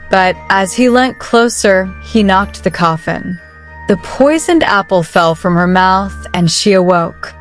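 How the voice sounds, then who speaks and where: A woman narrating a children's picture book aloud with measured, careful phrasing. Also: medium pitch. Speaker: storybook narrator. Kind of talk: children's read-aloud storytelling. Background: soft music.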